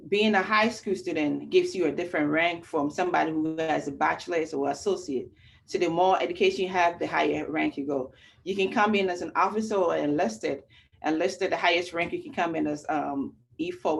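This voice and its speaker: Low gravelly woman from ghana explaining recruiting stuff, lots of ums, faint noise behind. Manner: plain explanatory, many fillers. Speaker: army recruiter. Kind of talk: informational talk.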